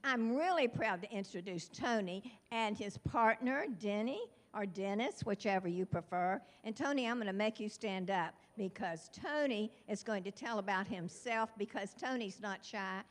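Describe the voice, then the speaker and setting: A woman's voice: mid-range voice, moderate pace, few pauses. An older woman introducing new residents at a meeting, laughing often and losing her place. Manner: warm, giggly, scattered, self-deprecating. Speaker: retiring neighborhood representative. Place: chapel hall.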